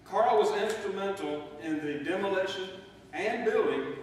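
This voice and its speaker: A middle-aged man reading a memorial eulogy in a measured, deliberate way in a church. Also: sits high, expressive. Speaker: eulogist, son of the deceased. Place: church sanctuary. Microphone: distant microphone in a large room. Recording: distant mic, echoey hall, faint noise.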